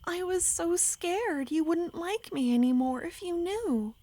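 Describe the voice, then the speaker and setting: A woman voicing an ancient, lovesick female vampire who pleads softly and slips into whispers, in an ASMR roleplay. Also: very high voice, lively intonation, measured pace, dry recording, voice pushed up. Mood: apologetic. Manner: soft, pleading, drifting into whispers.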